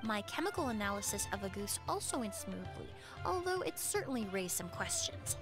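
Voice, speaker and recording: An actress playing a poised, analytical young perfumer in an anime video game, speaking precisely and in a measured way. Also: female voice, mid-range voice, big pitch swings. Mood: calm, clinical. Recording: game music behind.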